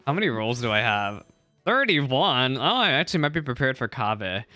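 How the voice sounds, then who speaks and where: A male streamer chats through his game session in an excitable, rambling way, often repeating himself. His voice has a bright, forward edge, and soft game music plays faintly underneath. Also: mid-range voice, fairly quick, no room sound. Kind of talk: casual livestream commentary.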